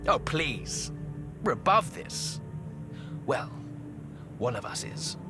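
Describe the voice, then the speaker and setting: An actor playing an aristocratic ancient mummy in a video game, arch, witty and disdainful. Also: male voice, medium pitch, very animated, slow pace, loud music behind the voice. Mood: disdainful, teasing. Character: aristocratic, sardonic, haughty ancient mummy dealmaker. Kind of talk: theatrical video game dialogue.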